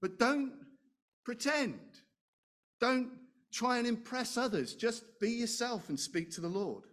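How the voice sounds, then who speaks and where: A man preaching a sermon, loud and animated, chatting with asides and rhetorical questions. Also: very high-pitched, measured pace.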